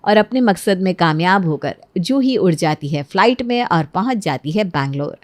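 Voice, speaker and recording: Low-voiced woman narrating a story. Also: expressive, moderate pace, no room sound.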